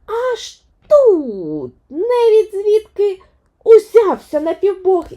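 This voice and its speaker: A woman narrating a fairy tale for children, expressively and dramatically, with deliberate emphasis. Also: very high-pitched, sing-song, warm tone. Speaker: children's storyteller.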